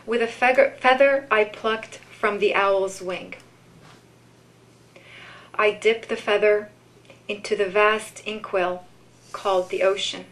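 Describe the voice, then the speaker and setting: Female poetry reader, mid-pitched, unhurried and measured, live event delivery. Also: fairly even intonation, fairly bright tone, low-quality recording. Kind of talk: live poetry reading with informal commentary.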